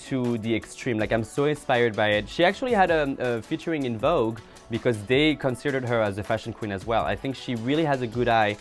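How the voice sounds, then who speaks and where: A man appearing as a guest on a casual chat show, speaking in an earnest, thoughtful way. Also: medium pitch. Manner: earnest, thoughtful, laughs easily. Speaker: guest, drag performer.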